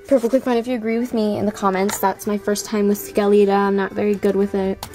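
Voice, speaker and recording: A woman's voice: mid-range voice, little pitch movement, a little fast, mellow. A woman chatting casually and apologetically to online viewers as an amateur voice auditioner. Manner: self-deprecating, apologetic.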